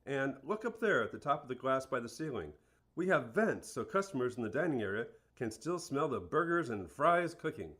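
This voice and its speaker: An earnest fast-food store manager played by a male actor answers an interviewer in a radio play. He is a plain-spoken man who explains everything in a matter-of-fact way. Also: mid-range voice, sing-song, fairly bright tone.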